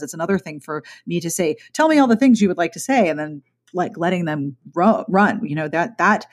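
Chatty, enthusiastic woman podcast host. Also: lowish voice. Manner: enthusiastic, self-deprecating, chatty. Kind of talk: conversational interview.

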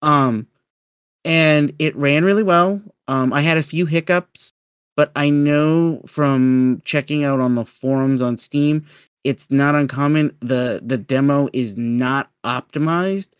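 A man co-hosting a podcast in a low-key, conversational manner, chatting casually. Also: takes it slowly, clean tone, no echo, studio quality. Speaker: podcast co-host.

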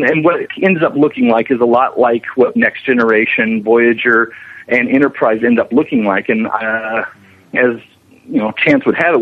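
A man being interviewed as a special effects animator, explaining his work conversationally with frequent restarts. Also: medium pitch. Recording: narrow, tinny phone-like line.

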